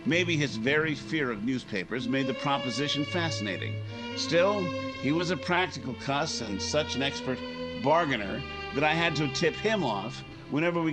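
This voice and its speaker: An actor with an American accent playing a driven newspaper editor who narrates a radio crime drama in hardboiled style. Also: male voice, medium pitch, lightly acted, rough recording. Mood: hardboiled narrating. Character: driven, hard-bargaining newspaper editor narrating his story.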